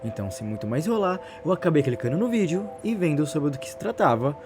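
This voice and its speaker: Brazilian Portuguese accent, male online narrator, quick pace, animated intonation, wordy casual storytelling, music behind. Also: a little high. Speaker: YouTube narrator.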